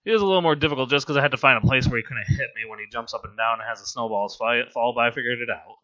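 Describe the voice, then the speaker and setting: A hoarse man with laryngitis giving casual, rambling, amused gameplay commentary as a voice-over. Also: mid-range voice, very fast, sharp tone, dull audio. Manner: rambling, amused and self-deprecating. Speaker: game commentator.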